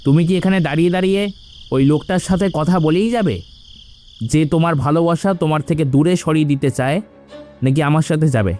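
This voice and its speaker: A man's voice: on the high side, fast talker, mellow, soft background music, natural voice. A man voicing an urgent, pressing young lover in a cartoon.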